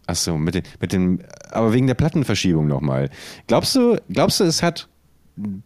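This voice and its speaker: A man's voice: deep voice, wildly swooping pitch, moderate pace, a little mellow, dry recording. A man co-hosting a podcast in casual chat, with a probing, questioning way of talking. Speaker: podcast co-host.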